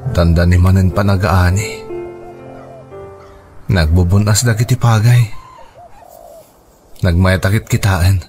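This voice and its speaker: A man's voice: deep voice, steady tone, takes it slowly, long pauses, darkish tone. A man with an Ilocano accent playing a lovesick, hardworking young farmer who broods anxiously, in a radio drama. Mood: wistful, brooding. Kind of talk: Filipino radio drama acting. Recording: music under the voice.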